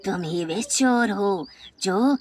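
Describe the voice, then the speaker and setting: A woman playing a dangerous male snake in a children's animated story, threatening and slow, breaking speech with hisses. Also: mid-range voice, a crowd in the background, lightly acted. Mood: accusing, menacing. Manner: slow, threatening, broken by hissing sounds. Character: dangerous, menacing male snake, owner of a corn field.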